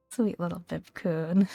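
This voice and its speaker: A woman hosting a soft-spoken ASMR gaming video, talking gently and fondly in a hushed voice that often slips toward a whisper. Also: fairly low pitch, creaky, no room sound, studio quality. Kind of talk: soft-spoken ASMR, relaxed commentary and read-aloud entries.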